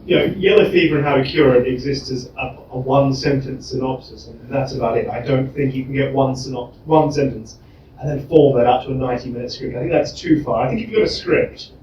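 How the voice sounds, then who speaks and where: A man argues a point informally at a live discussion, at a middling pitch. The sound is dull and echoey, caught away from the mic. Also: steady tone, fast, a little mellow. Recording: dull, echoey room, distant mic, faint noise.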